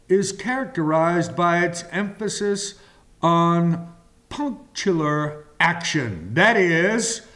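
A man preaching a communion sermon slowly and deliberately, pausing between phrases. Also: sits high, a bit bright. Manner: slow and deliberate, with long pauses.

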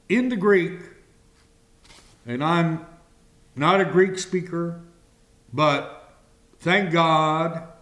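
Preacher guy talking super slow, kinda high voice, long pauses. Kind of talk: slow communion sermon.

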